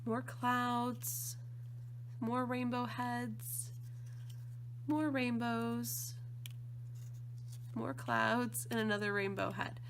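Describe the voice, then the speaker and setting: A woman with a slightly croaky voice from allergies, chatting casually and gushing with enthusiasm as she unboxes craft supplies. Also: fairly high pitch, fairly even intonation, very slow, long pauses, slight background noise. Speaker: scrapbooking design team member.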